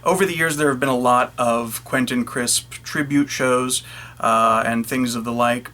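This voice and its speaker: A man introduces a performance as its writer and actor. His voice is bright and crisp-edged, sitting at a middle pitch. The delivery is presentational, addressed to viewers. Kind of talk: presentational spoken introduction to camera.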